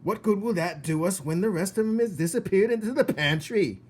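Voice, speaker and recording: A man voicing a bad-tempered, bossy woman cook in a storybook, scornful and barking out orders loudly. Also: high voice, fairly quick, husky, pitched above the performer's own voice. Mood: furious, scornful.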